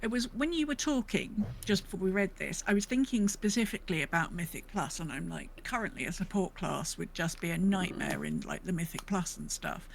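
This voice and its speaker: A woman's voice: fairly low pitch, animated, fairly quick, creaky, hardly any pauses, a little hiss or hum, rough recording. A woman co-hosting a podcast, chatting casually and reading out listener comments. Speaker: podcast co-host.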